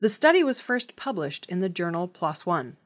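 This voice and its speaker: A woman reads scripted news as a presenter in a measured, formal, clearly enunciated way. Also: on the low side, big pitch swings.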